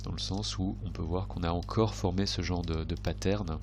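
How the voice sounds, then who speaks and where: A low-pitched man, a little stuffed up with a cold, talks through charts off the cuff. He hesitates and rephrases as he thinks aloud. A faint hum and some noise sit under his voice. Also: fairly even intonation, moderate pace, darkish tone. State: slightly congested with a cold. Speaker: trading video host. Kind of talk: unscripted chart commentary.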